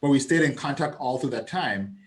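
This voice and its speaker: A man in his thirties from Saint Thomas with a Virgin Islands Caribbean accent, a guest chatting over a video call, relaxed and storytelling. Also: medium pitch, fairly quick, a crowd in the background. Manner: storytelling, relaxed, laughs often. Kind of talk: casual video-call chat.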